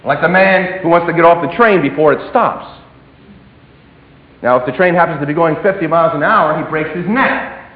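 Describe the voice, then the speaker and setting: A man preaching a sermon, swinging between emphatic declarations and hushed, dropped phrases. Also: fairly high pitch, normal speed. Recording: echoey hall, tinny phone-like sound.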